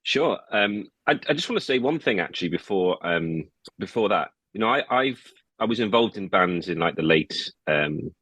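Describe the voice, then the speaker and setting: A man's voice: sits low, fairly bright tone, husky, dry recording. An English man in his early forties, a musician guest chatting loosely in an interview, full of restarts and "you know". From England. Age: early 40s. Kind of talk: casual interview chat.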